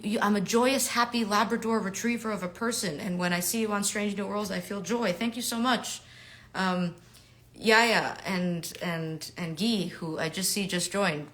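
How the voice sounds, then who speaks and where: A woman from New York in her thirties, chatting casually and upbeat with fans during a livestreamed autograph signing, with lots of fillers and quiet murmurs while she writes. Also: mid-range voice.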